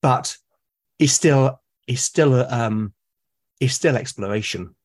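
A man's voice: mid-range voice, slow pace. Enthusiastic man explaining. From Britain. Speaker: podcast guest. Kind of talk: conversational interview.

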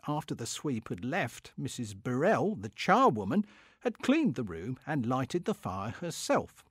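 A man's voice: medium pitch, wildly swooping pitch, unhurried, slightly rough, dry recording, clean studio sound, exaggerated voice. A man playing a pompous, fussy, middle-aged Victorian clerk who is easily affronted, in a comic dramatic diary reading. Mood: aggrieved. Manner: pompous, indignant, self-satisfied.

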